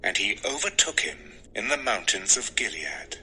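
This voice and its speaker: A man's voice: fairly low pitch, sing-song, moderate pace, sharp tone, soft background music, rough recording. A man narrating scripture from a script as the narrator of an audio drama.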